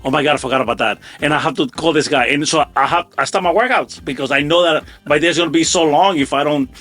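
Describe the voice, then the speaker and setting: A middle-aged man answering as an interview guest in a casual, modest way, often halting and repeating himself. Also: a little high, fairly bright tone, dry recording.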